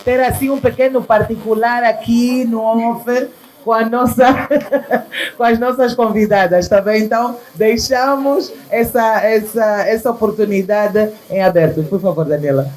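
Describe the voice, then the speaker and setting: A woman with a voice on the high side hosts a live talk event. She is chatty and informal, addressing her guests with affectionate terms as she moderates. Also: unhurried, darkish tone, poor audio. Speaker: host of a women's wellness talk event. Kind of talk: live event hosting, casual chat.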